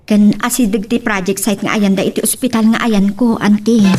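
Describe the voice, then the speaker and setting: A woman's voice: mid-range voice, fairly even intonation, moderate pace, far from the mic, over music, lightly acted. A woman with a Filipino accent playing a polite, dutiful young working wife in an audio drama. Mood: polite, reassuring. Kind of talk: Ilocano radio-style drama acting.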